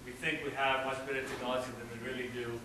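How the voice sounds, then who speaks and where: A man's voice: mid-range voice, fast, noisy background. A man from South Africa with a South African accent, a native Afrikaans speaker, giving a hesitant slideshow presentation at a cultural event. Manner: relaxed, hesitant with frequent uh fillers. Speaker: student presenter. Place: event hall. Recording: roomy hall, mic at a distance.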